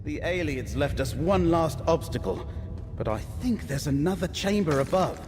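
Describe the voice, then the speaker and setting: An actor playing an eager, friendly and curious adventurer companion in a video game. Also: male voice, a little high, lively intonation, moderate pace, background noise, lightly acted. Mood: curious, alert. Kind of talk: scripted video game dialogue. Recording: long reverb, loud game music behind. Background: game music.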